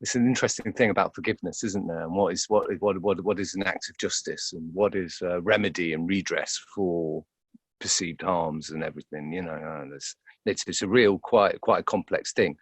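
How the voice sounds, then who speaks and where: A man's voice: low voice, brisk, husky, dry recording. A man giving conversational interview answers as an actor, hesitant and often repeating words as he thinks aloud.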